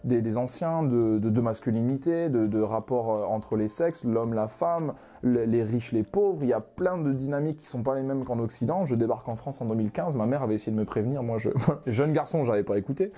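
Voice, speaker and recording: A man's voice: mid-range voice, brisk, few pauses. A young man who grew up in Ghana, a manga author talking casually as a podcast guest, with lots of hesitations and restarts. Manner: relaxed, many hesitations and restarts.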